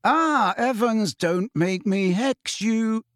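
A man's voice: high voice, sing-song, measured pace, no room sound, clean studio sound, voice pushed up, exaggerated voice. A man voicing an arrogant, showy teenage schoolboy bully with a brash, taunting manner in an audiobook story.

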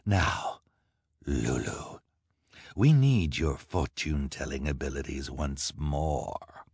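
A man's voice: a bass voice, lively intonation, slow, gravelly, darkish tone, slightly rough, no echo, studio quality, exaggerated voice. A man voicing a greedy, scheming villain who speaks in a smug, cold way.

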